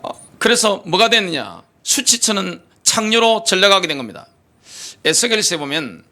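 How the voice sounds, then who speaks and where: A man preaching in a church with a high, bright voice that rises and falls a lot. He keeps asking the congregation rhetorical questions and drives each point home with emphasis. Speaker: pastor. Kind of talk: sermon. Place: church sanctuary.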